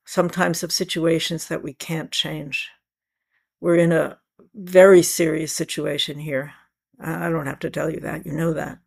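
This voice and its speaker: Scratchy-voiced woman teaching calmly. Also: low-pitched, vocal fry. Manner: calm, measured, reflective. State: scratchy voice today. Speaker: spiritual teacher. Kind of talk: spiritual talk to a meeting.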